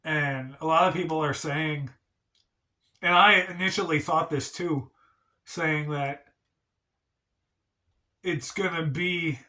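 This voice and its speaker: Slow-talking man with a stuffy cold. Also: on the high side, pushed and bright. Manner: slow, rambling, hedging. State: congested from a cold. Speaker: wrestling channel host. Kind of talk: casual review vlog.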